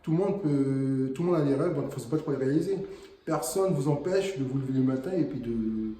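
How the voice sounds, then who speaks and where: A man's voice: medium pitch, fast, mellow. A man from French Guiana, an investor guest speaking earnestly and motivationally in a casual interview, with frequent hesitant fillers. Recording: echoey room.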